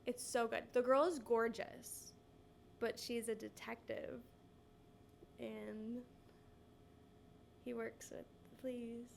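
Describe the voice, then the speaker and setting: A woman's voice: fairly high pitch, flat delivery, takes it slowly, gravelly, long pauses, a little hiss or hum. A young woman co-hosting a podcast, stuffy from a cold, chatting in a giggly, rambling way full of "like". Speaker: podcast co-host. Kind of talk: casual chat.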